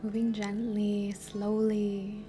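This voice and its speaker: A woman leading an impromptu guided meditation in a soft, gentle voice that often trails toward a whisper. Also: medium pitch, completely flat delivery, slow, soft background music. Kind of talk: impromptu guided meditation with casual rambling introduction.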